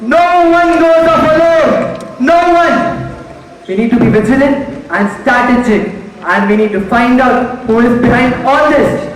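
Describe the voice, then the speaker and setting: A young performer plays a composed leader steadying frightened survivors in a stage mystery. The delivery is measured and declamatory. The voice carries from far off through a hall with long, heavy reverb. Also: high voice. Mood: urgent, firm. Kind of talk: amateur stage play acting. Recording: very echoey hall, recorded from far off.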